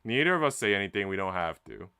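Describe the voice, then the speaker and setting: Male game streamer, clean smooth tone, dry sound, moving between story reading and informal chat. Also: lowish voice, big pitch swings, fast talker, pushed and bright, no echo. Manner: informal, slangy when chatting. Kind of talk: reading a visual novel aloud with casual chat.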